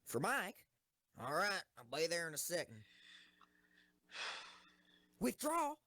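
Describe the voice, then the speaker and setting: A woman's voice: on the low side, huge pitch swings, takes it slowly, a bit bright, dry recording, exaggerated voice. A woman acting a ruthless, hot-tempered young female tank commander in an audio drama, commanding and intense. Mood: defiant.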